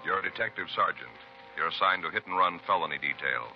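A man playing the solemn, measured announcer of a 1950s police radio drama. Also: low-pitched. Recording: dull 1950s radio recording. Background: music.

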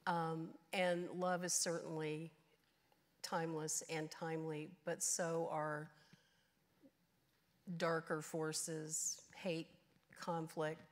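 Woman in her sixties, panel moderator; slow, hesitant delivery, lower register. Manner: halting, many fillers. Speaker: session moderator. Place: large auditorium stage.

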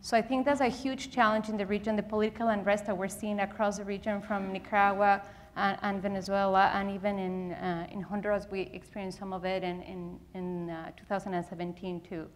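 A middle-aged woman from Ecuador speaking earnestly on a conference stage. Her delivery is steady and very even in pitch, with little rise and fall. A lapel mic picks her up. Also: fairly quick, few pauses. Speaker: regional director, panelist. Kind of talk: conference panel answer. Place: indoor conference stage with audience.

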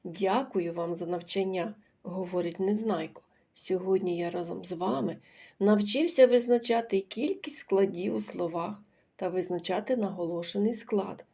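A woman voicing Dunno, a cheerful little boy pupil, in a lesson for children, with a bright, chirpy delivery. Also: medium pitch, moderate pace, slightly rough.

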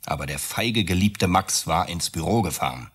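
Husky, volatile accused husband. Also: male voice, low voice, animated, normal speed. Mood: sarcastic, accusing. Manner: sarcastic, accusatory, rhetorical questions. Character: Max, accused architect, bitter, volatile, cornered lover. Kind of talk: German radio drama acting.

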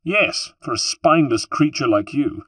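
A man's voice: medium pitch, big pitch swings, moderate pace, breathy edge, dry recording. A man voicing a spirited, imperious younger noblewoman in a storybook, scolding and commanding. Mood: commanding, contemptuous.

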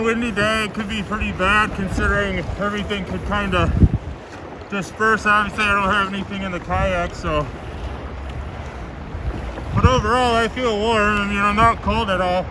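Male kayak angler, high-pitched, halting and musing, casual, recorded outdoors on a lake with clear wind and water noise. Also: steady tone. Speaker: kayak angler practicing self-rescue. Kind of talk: casual chat. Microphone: camera on a nearby kayak.

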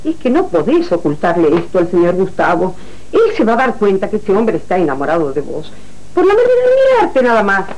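A woman's voice: mid-range voice, huge pitch swings, fairly quick, darkish tone, poor audio. A woman playing a frank, cautioning confidante with an Argentine accent in a telenovela. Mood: warning.

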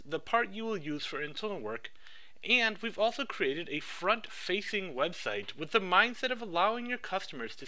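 A man giving a rehearsed project pitch with an upbeat sales-pitch delivery. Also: bright, forceful tone.

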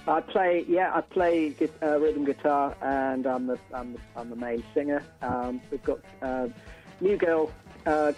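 A man's voice: fairly high pitch, a little slow, a little mellow, soft background music, poor audio. A man chatting casually as an interview guest, a musician who often repeats words as he feels his way. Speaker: interview guest, band singer and songwriter. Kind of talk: casual chat.

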